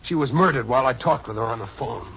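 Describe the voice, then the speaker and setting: A man's voice: medium pitch, fast, fairly bright tone, close to an everyday voice. Earnest, defiant young man, acted, muffled recording. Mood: troubled. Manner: earnest, tense, stubborn. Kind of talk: old-time radio drama acting. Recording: muffled vintage radio recording with music.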